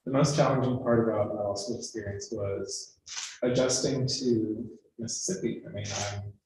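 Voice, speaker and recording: Young man from Michigan on a law panel, earnest and quick to laugh, in a very echoey room with faint hiss. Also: fairly low pitch, fairly even intonation, darkish tone. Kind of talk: panel answer.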